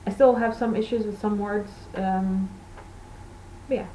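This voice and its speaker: A woman's voice: medium pitch, steady tone, measured pace, warm tone, slight background noise, poor audio. A woman from Portugal, a native Portuguese speaker, hosting a knitting podcast in rambling, self-correcting casual chat.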